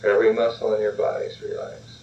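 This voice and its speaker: A man calmly guiding a relaxation and role-play exercise, repeatedly urging the listener to relax, in a dull lo-fi recording. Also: on the low side, brisk, far from the mic, slight background noise.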